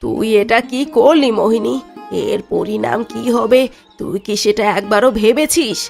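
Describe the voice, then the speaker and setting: A woman's voice: fairly high pitch, normal speed, vocal fry, darkish tone, faint music behind. A woman voicing a caring, worried elder sister in a village fairy-tale cartoon, earnest and questioning.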